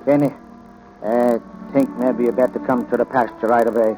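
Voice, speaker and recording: Ranch hand played by a man, old radio drama with music underneath: grave, hesitant, plain simple speech, medium pitch. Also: little pitch movement, normal speed, dark tone, natural voice, low-quality recording. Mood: grave, worried. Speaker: actor in radio play. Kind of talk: 1940s radio drama acting.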